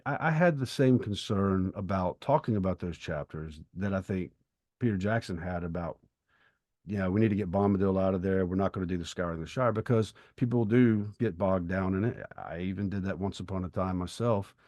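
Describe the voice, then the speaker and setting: A man co-hosting a podcast, speaking reflectively and earnestly, with thoughtful, halting phrasing. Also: low-pitched, darkish tone, no echo, highs cut off. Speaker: podcast co-host. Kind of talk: reflective literary discussion and prayer.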